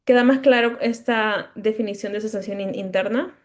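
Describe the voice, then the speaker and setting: Woman, lecturer, speech pathologist, explanatory tone, mid-pitched voice, occasional restarts in her speech, audience check-ins. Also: normal speed. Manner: stutters at times, mid-word restarts. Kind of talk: conference lecture.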